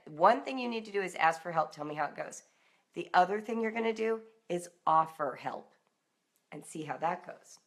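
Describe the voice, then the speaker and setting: A woman's voice: on the low side, fairly bright tone. A middle-aged American woman coaching parents in an informal live talk, speaking directly and emphatically as if in conversation with her listeners. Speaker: parenting and homeschool coach, live broadcast host.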